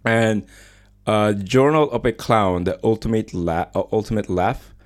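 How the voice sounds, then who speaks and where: A man's voice: lowish voice, unhurried. A man hosting a live student radio show in a studio, chatting casually with many small hesitations. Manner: chatty, hesitant with frequent fillers. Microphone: studio microphone.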